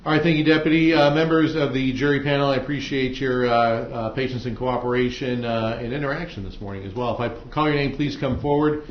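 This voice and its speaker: Echoey American male judge, conversational and halting. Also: mid-range voice, fairly even intonation. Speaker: judge presiding over a trial. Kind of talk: courtroom proceedings, informal instructions to jurors. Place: indoor courtroom. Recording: echoey, muffled courtroom audio with noise.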